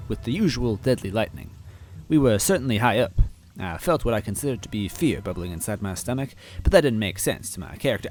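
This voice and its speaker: Low-voiced man narrating a story. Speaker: story reader. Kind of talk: first-person storybook narration.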